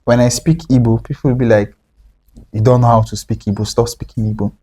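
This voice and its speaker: A young man, talking casually as a writer guest, relaxed and repeating himself as he explains. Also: medium pitch, brisk, husky. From Nigeria. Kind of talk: casual conversation.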